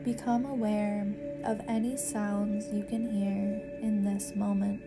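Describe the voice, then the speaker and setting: Female meditation guide, slow and measured, soothing instructional read with little pitch movement. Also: little pitch movement, over music. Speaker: yoga nidra meditation guide and podcast host.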